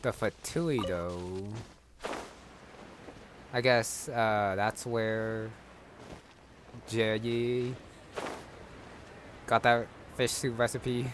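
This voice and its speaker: Slow, halting male gamer. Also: fairly low pitch, fairly even intonation, noisy background. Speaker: gamer commenting on his playthrough. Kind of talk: casual gameplay commentary. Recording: game music behind.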